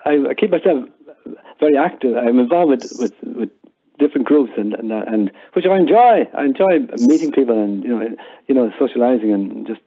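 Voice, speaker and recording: A man's voice: mid-range voice, lively intonation, a little fast, phone-quality sound. An older man with an Irish accent chatting casually as an interview guest, explaining things hesitantly and repeating words as he goes. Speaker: interview guest, member of amateur audio theatre group.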